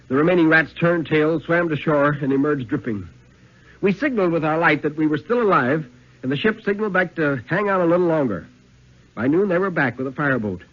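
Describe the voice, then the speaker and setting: A man playing a steely, haunted lighthouse keeper who recounts his ordeal with dramatic, measured urgency in a 1950s radio reading. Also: a little high. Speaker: story reader. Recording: dull vintage radio recording.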